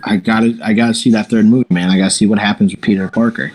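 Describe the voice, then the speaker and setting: A man's voice: fairly low pitch, steady tone, very fast, a little mellow. A man co-hosting a casual gaming podcast, laid-back, with short replies. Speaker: podcast co-host. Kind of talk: casual chat.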